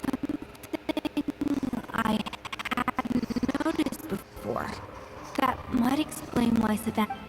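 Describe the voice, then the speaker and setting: A proud young lady chef in an anime game, high voice, takes her time, polished. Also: takes it slowly, very noisy. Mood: troubled, pensive. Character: confident, proud young female master chef.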